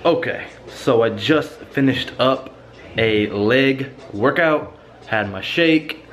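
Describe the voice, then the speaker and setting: A man's voice: medium pitch, slow, a bit bright, a little hiss or hum. A young man in his twenties, a fitness vlogger, talking casually through his cooking step by step, recorded on a camera mic in a small home kitchen. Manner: plain step-by-step explaining. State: stuffed up with a cold. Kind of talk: casual how-to vlog narration.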